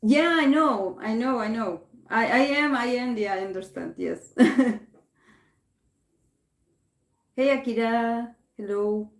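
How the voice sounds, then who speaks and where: A woman's voice: fairly high pitch, takes it slowly, pauses often. A woman from Argentina, a native Spanish speaker, chatting casually in English as host of a livestream, with many restarts. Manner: chatty, many restarts, reading comments aloud. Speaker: tarot reader host. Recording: roomy echo.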